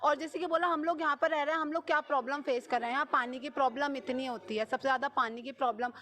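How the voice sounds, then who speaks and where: A woman's voice: sits high, fairly even intonation, quick pace, a bit bright. A woman in her twenties with a North Indian accent, speaking insistently as a local resident in a spontaneous, impassioned street interview, mixing in English words. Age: 20s. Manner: insistent, repetitive, mixes in many English words. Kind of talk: impassioned spontaneous vox pop.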